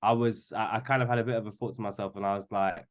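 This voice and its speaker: A man's voice: fairly low pitch, fairly even intonation, quick pace. Guest, male, twenties, casual and rambling, lots of "like" and "you know". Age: 20s. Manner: rambling, many restarts and fillers. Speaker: podcast guest. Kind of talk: casual chat.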